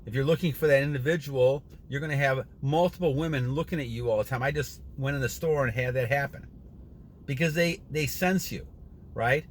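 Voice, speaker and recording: A man in his sixties giving a casual motivational monologue to his viewers, rambling and earnest, often repeating himself and clearing his throat. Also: medium pitch, a little fast, slight background noise. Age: 60s. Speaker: channel host.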